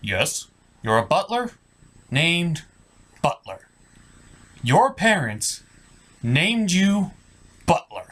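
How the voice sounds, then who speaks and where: A man's voice: fairly high pitch, sing-song, drawn-out words, pauses often, fairly bright tone, full character voice. A man voicing a puppet butler character in scripted comic banter, formal, exasperated and full of questions. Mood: incredulous, deadpan. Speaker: sidekick in puppet review show.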